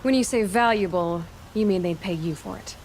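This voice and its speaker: Actress as a blunt young huntress. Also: female voice, medium pitch, normal speed, darkish tone, slight background noise, close to an everyday voice. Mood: skeptical. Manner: direct, skeptical. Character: young female huntress, shrewd, blunt and questioning. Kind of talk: video game dialogue.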